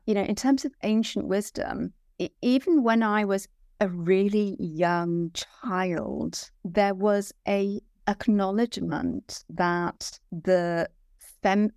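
A woman's voice: mid-range voice, darkish tone, no room sound, studio quality. A middle-aged woman with a Welsh accent, speaking conversationally as an interview guest, often repeating phrases for emphasis. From Wales. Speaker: podcast guest.

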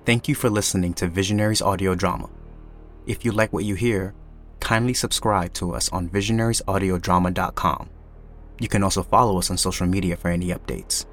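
A man's voice: deep voice, steady tone, brisk, fairly bright tone, faint music behind. A man announcing a scripted outro for an audio drama podcast.

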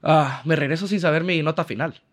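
A man's voice: on the high side, brisk. A man with a Honduran accent talking casually as a guest, retelling personal stories with quoted dialogue and slangy asides. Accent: Honduran Spanish. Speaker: podcast guest, trained architect. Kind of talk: casual conversational storytelling.

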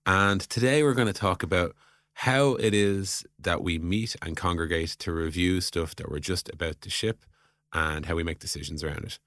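A man's voice: low voice, moderate pace, fairly bright tone, dry recording, studio quality. A man hosting a podcast in a conversational interview style. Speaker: podcast host.

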